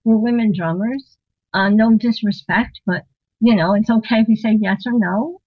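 A woman telling a long story in a casual interview, animated, acting out what people said and laughing often. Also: medium pitch, normal speed, slightly rough, no echo, phone-quality sound. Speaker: interview guest, drummer and singer.

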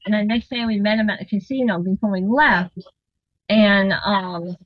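A woman telling a long story in a casual interview, animated, acting out what people said and laughing often. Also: mid-range voice, normal speed, no room sound, muffled recording. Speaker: interview guest, drummer and singer.

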